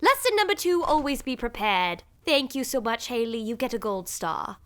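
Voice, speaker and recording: A woman's voice: a little high, big pitch swings, moderate pace. A woman voicing a prim, bossy princess who tutors apprentices in a teacherly way, in an audio comedy. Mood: prim, amused.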